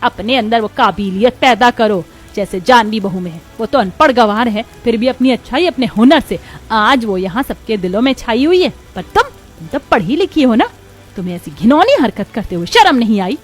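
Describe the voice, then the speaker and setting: A woman's voice: fairly high pitch, lively intonation, normal speed. A woman voicing a stern, scolding Indian mother-in-law in a dramatic Hindi moral story cartoon. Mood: stern, indignant. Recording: music behind the voice. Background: background music.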